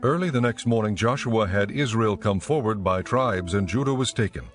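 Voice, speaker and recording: A man narrating scripture as a scripted story, in a measured storytelling manner. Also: lowish voice, soft background music.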